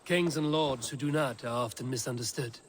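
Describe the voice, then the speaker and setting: An actor playing a plain-spoken Viking warrior leader in a video game, terse, dry and wary. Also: male voice, medium pitch, brisk, natural voice. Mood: blunt, reflective. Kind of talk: dramatic video game dialogue. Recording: noisy game audio.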